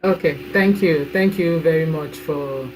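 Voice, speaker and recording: A woman's voice: sits low, a little slow, faint music behind, rough recording. A woman in her thirties with a Nigerian accent, speaking as a guest over a video call in a chatty, friendly way. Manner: warm, chatty, laughs often, says "right?". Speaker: guest, mentoring coach. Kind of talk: casual interview talk.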